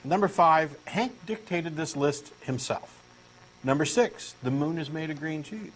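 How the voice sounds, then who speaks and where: Brief: Texan man, earnest and pushy, bouncy fairly high delivery, faint hiss behind. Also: animated, a little slow, poor audio. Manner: eager, insistent true believer, earnest and pushy. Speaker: co-host doing a comic dramatic reading. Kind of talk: comic scripted dialogue reading.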